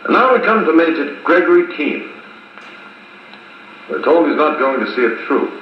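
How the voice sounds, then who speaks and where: An actor playing a brisk, decisive American military officer running a conference in a 1950s radio drama. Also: male voice, fairly high pitch, very animated. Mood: brisk, businesslike. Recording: muffled, echoey 1950s wire recording with hiss.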